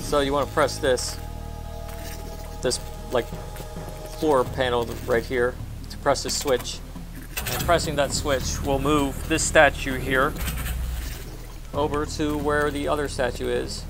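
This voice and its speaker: A man's voice: medium pitch, flat delivery, takes it slowly, long pauses. A man giving casual gameplay commentary as he walks viewers through a video game. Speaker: gamer giving a walkthrough. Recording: game music behind the voice.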